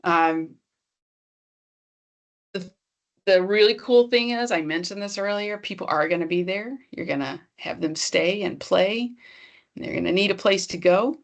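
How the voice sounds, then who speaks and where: A woman's voice: on the low side, measured pace, gravelly, pauses often. A woman presenting an online accessibility workshop in a conversational, encouraging way, speaking straight to her audience.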